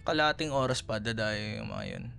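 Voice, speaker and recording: A man's voice: on the low side, normal speed, vocal fry, pushed and bright, soft background music. A Filipino man playing a chatty, teasing café worker in a casual, naturalistic boyfriend roleplay audio. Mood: surprised, curious. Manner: chatty, playful, casual conversational.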